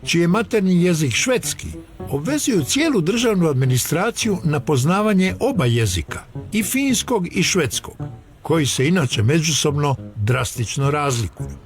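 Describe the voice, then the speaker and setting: A man's voice: fairly high pitch, very animated, brisk, faint music behind. A man narrating a documentary in a scripted, explanatory way.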